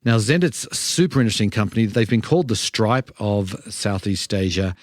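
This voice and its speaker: A man's voice: fairly low pitch, expressive, fairly quick, no echo, clean studio sound. A man hosting a podcast, reading an upbeat introduction and then asking interview questions. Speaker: podcast host.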